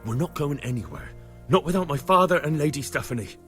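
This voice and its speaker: An actor playing a stubborn, earnest young hero in a medieval video game, speaking bluntly and with determination. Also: male voice, a little high, sing-song, a little fast, fairly bright tone, faint music behind. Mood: determined. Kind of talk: dramatic video game cutscene acting.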